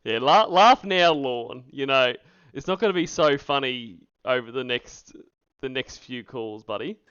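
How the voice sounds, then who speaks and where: A man's voice: mid-range voice, lively intonation, a little slow, fairly bright tone, clear, resonant tone, dry recording. A man hosting a livestream, giving casual, sarcastic commentary in a mocking, profane way. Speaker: livestream host reacting to recorded calls. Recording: dull lo-fi stream audio.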